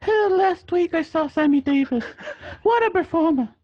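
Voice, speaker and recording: A woman playing a gushing, excitable gangster's girlfriend in an amateur radio drama. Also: very high-pitched, normal speed, dry recording. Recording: dull lo-fi dry home recording.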